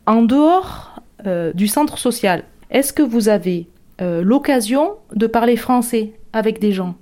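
A woman's voice: fairly high pitch, lively intonation, unhurried, dark tone. A woman interviewing French learners, asking simple questions patiently and encouragingly.

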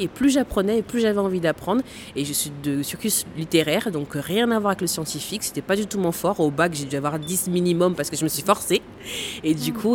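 A woman's voice: lowish voice, a little mellow, slight background noise. A woman in her thirties from the Caribbean who works as a tour guide, explaining with frequent fillers and occasional laughs. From born in Guadeloupe, raised in French Guiana. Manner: explanatory, hesitant with many fillers, laughs now and then. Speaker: tour guide at a space center. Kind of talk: interview answers.

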